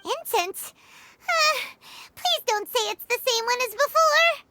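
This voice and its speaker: A woman voicing a tiny, childlike, excitable fairy companion in a video game, with a squeaky, cartoonish, expressive delivery. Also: slow pace, vocal fry, heavy background noise, full character voice. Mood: chatty, concerned. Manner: squeaky, expressive, speaks of self in third person.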